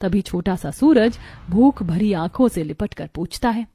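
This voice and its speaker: A woman's voice: mid-range voice, expressive, unhurried, dark tone, breathy edge. A woman reading scripted narration for a story.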